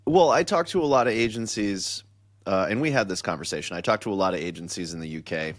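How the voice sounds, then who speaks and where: A middle-aged man with a very deep voice hosts a loose, jokey chat. He talks in excitable bursts and rambles through his questions, and the sound is close and dry. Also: fast, dry recording. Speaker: podcast host. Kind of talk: casual chat.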